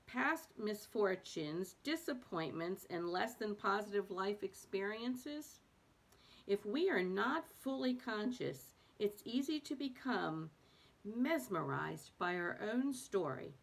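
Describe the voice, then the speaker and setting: Woman reading aloud, slightly halting. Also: medium pitch. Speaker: host of a read-aloud series. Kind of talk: casual introduction and reading aloud from a self-help book.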